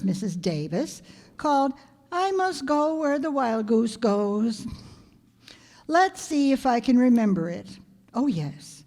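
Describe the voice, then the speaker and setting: The sound rings with the long reverb of a hall. A woman acts out a schoolteacher from an old-time radio comedy, wry and quick with a wisecrack. Her voice sits a bit high and swings widely, while the delivery stays dry and sardonic. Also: a little mellow. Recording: reverberant hall stage recording.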